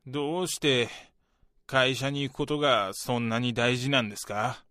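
A man's voice: medium pitch, steady tone, normal speed, sharp tone, dry recording, studio quality, close to an everyday voice. A young man acting an earnest, polite junior salesman who speaks deferentially in a radio drama. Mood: concerned, probing. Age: young adult. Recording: prominent music behind the voice.

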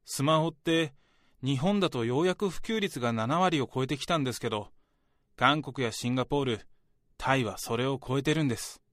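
A man's voice: mid-range voice, fairly quick, fairly bright tone, no room sound, studio quality, close to an everyday voice. A man playing an ambitious, self-assured young office worker in a radio drama, speaking politely and earnestly to his senior. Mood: eager, talkative. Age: young adult. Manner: polite junior speech, talkative about ambitions. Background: background music.

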